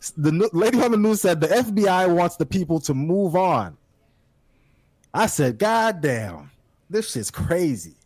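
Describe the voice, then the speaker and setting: A man's voice: high-pitched, very animated, unhurried, a little mellow, dry recording. Dry-sounding male podcast co-host. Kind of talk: casual podcast debate.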